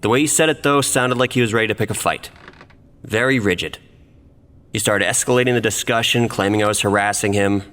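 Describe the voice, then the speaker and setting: A man's voice: lowish voice, brisk, a bit bright, long reverb tail, close to an everyday voice. A man playing a defensive police officer giving formal, self-justifying testimony in a courtroom audio drama.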